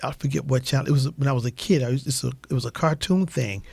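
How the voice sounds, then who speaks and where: A man's voice: medium pitch, quick pace, vocal fry, darkish tone, husky, dry recording. A man hosting a radio talk show in a casual, conversational style, affirming and often repeating short phrases.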